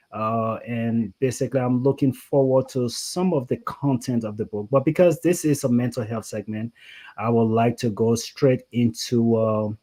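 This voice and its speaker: A man's voice: medium pitch, dark tone. A man hosting a conversational interview, rambling and often saying "you know". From Liberia. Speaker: talk show host.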